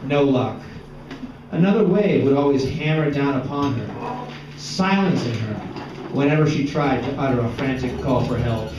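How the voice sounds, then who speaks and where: A man reading a story aloud as dramatic, deliberate literary narration in an indoor gallery. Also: mid-range voice, lively intonation, noisy background. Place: echoey indoor art gallery. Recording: echoey room, distant mic, dull sound, music behind. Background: plucked guitar music.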